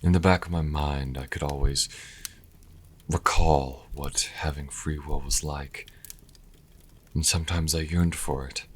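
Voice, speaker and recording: Very deep, husky sassy male vampire played by a man. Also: fairly even intonation. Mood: reflective, wry. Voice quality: very deep, husky, breathy at times. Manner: slow, deliberate, wry, haughty, with pauses. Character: sassy male vampire healer, proud, wry, grudgingly charitable. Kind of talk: audio roleplay, intimate in-character monologue to listener.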